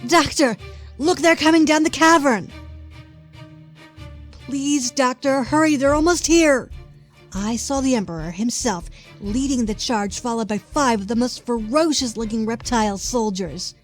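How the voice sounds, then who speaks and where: Casting: actress as young female sidekick and narrator, slightly high voice, colloquial and sassy, clear music bed. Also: measured pace, natural voice. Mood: alarmed. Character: sassy, easily flustered young female companion, casual narrator. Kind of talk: first-person audio drama narration.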